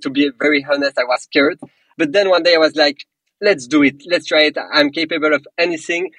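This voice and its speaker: French-accented man, husky and dry. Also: on the high side, fairly bright tone, no echo, low-quality recording. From Belgium. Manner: earnest, motivational, with non-native grammar slips. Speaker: startup co-founder, guest. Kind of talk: podcast interview answers.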